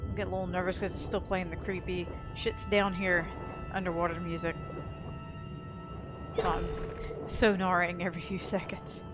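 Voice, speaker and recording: A woman's voice: lowish voice, little pitch movement, moderate pace, long pauses, highs cut off. A woman hosting a gaming channel, giving casual, playful let's-play commentary with a wry sense of humor. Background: game music and sounds.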